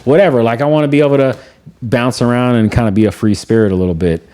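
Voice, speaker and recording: A man's voice: medium pitch, fast talker, warm tone. A young man, a music producer, talking casually on a podcast in a laid-back, rambling way, full of "like" and trailing thoughts.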